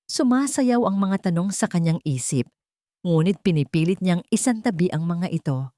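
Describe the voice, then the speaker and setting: A woman's voice: fairly low pitch, expressive, moderate pace, mellow, no echo, clean studio sound. A woman reading a scripted, dramatic audio story as a storyteller.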